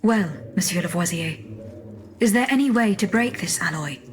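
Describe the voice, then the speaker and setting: Woman playing female automaton, courteous, measured delivery, quietly determined, formal game cutscene dialogue, mid-range voice. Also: medium pitch, lively intonation, faint music behind, lightly acted. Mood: composed, factual.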